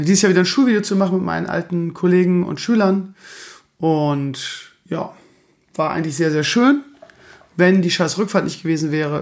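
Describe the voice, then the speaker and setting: A hoarse man with a gravelly, rough-edged voice that sits a little higher than most men's. He hosts his own podcast in loose casual chat, rambling and poking fun at himself as he grumbles. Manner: rambling, self-mocking, grumbling. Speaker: podcast host.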